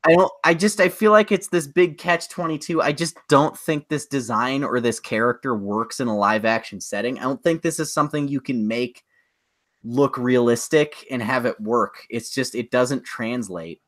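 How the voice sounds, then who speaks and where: A man's voice: mid-range voice, moderate pace, fairly bright tone. Opinionated man in casual podcast chat. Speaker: podcast co-host.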